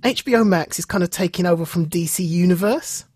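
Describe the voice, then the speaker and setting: A man's voice: sits high, fairly quick, a little mellow, breathy edge, no room sound, studio quality. A man co-hosting a podcast, chatting casually and animatedly, often repeating phrases for emphasis. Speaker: podcast co-host. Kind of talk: casual chat about comics and film news.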